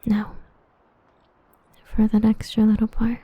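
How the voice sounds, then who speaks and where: A woman chatting softly and breathily to listeners as an ASMR audio creator. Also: fairly high pitch, completely flat delivery, slow, dry recording, highs cut off. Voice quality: soft, breathy. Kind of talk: soft ASMR-style outro chat to listeners.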